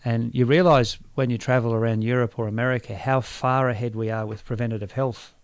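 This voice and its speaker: A man in his forties with an Australian accent, a doctor talking as a guest in a radio studio, relaxed and thoughtful with frequent fillers. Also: medium pitch, brisk, clean tone, no echo. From Brisbane, Australia. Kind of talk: radio interview. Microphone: studio microphone.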